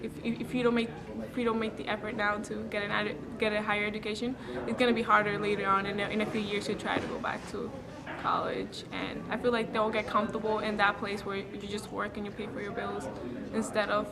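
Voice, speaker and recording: A young woman in her late teens from the Dominican Republic, answering interview questions earnestly and reflectively, pausing to gather her thoughts. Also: medium pitch, little pitch movement, crowd noise. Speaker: student interviewee. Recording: noisy background hiss.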